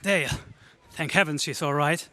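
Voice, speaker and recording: A man plays a plucky, defiant hero of an old radio space adventure. The voice is high for a man and bright, with earnest, emphatic lines in a dry recording. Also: moderate pace, no echo. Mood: tender, resigned. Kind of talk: 1930s space serial radio drama acting.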